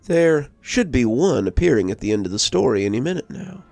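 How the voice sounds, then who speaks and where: A man reads a scripted story in a gravelly, fry-edged voice. His pitch moves around in a lively way as he delivers each line with measured drama. Soft music plays underneath. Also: no echo. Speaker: horror story narrator and channel host. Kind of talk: scripted first-person storytelling narration. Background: background music.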